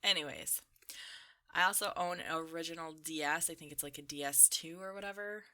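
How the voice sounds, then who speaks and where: A woman's voice: low-pitched, a little fast, pushed and bright. A young woman chatting casually in an informal, rambling, slangy way, like commentary over gameplay. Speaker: gaming video creator. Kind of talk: casual chatty commentary while playing a game.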